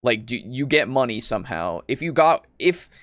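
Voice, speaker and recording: A man's voice: mid-range voice, big pitch swings, normal speed, a bit bright, dry recording. Rambling man in a casual, close dry chat. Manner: rambling, hesitant, searching for words; casual swearing. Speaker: podcast co-host. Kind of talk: casual tabletop roleplaying discussion.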